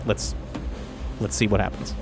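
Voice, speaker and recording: A man playing a tabletop game, talking through his plans casually and haltingly as he thinks aloud. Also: over music. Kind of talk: casual in-character game chat.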